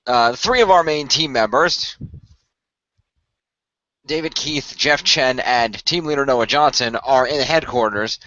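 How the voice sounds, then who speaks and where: A man's voice: mid-range voice, unhurried, pushed and bright, dry recording. A man hosting and narrating an amateur radio play in an informal podcast style. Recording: dry, dull lo-fi voice track.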